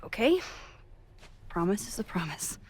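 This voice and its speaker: A woman's voice: mid-range voice, big pitch swings, a little slow, husky. A woman playing an inquisitive young photographer and amateur sleuth in a video game, casual, dry and probing. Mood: wry, musing. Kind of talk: naturalistic video game acting.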